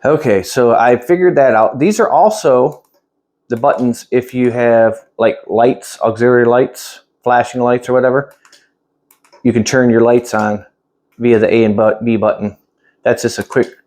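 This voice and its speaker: A man with a mid-pitched voice walks through a product in a casual demo. He talks plainly and rambles a little, thinking out loud as he handles things indoors at home. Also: fairly even intonation, measured pace. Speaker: product reviewer. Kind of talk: casual hands-on product demonstration.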